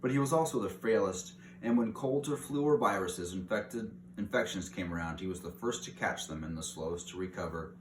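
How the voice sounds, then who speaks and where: A man's voice: deep voice, a little fast, hardly any pauses, distant mic. A man reading a children's book aloud as narrator. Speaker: story reader. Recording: roomy echo, clear background hiss.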